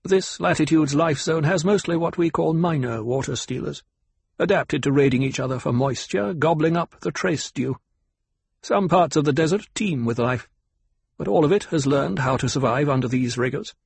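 A proud, guarded desert scientist played by a male narrator, pitched up high with a husky edge. He speaks formally and curtly, stressing his words stiffly, as a character in an audiobook. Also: normal speed, no echo. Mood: intent, instructive. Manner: stiff, curt, formal, emphatic.

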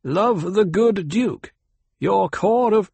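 A man's voice: sits high, expressive, takes it slowly, gravelly, darkish tone, slightly rough, no echo, voice pushed up, full character voice. A man voicing a proud, guarded planetologist in an audiobook, speaking in a stiff, curt, formal and emphatic way. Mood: guarded, sour. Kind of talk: audiobook character acting.

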